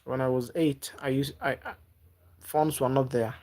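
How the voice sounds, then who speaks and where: A man from Africa, not a native English speaker, chatting live with viewers and giving gentle advice, halting and repeating words. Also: medium pitch, steady tone. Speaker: livestreamer. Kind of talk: casual live-stream chat with viewers.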